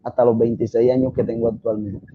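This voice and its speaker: A man, a biologist answering interview questions over a video call in an earnest, steady way. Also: medium pitch. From Colombia. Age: 20s. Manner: earnest, steady explaining with filler pauses. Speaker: guest biologist and sex educator. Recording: slightly dull sound with faint noise.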